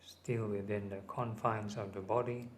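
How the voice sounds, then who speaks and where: A man teaching guided meditation, giving gentle, measured instructions. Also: fairly low pitch, fairly even intonation. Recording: clearly audible ambient background noise.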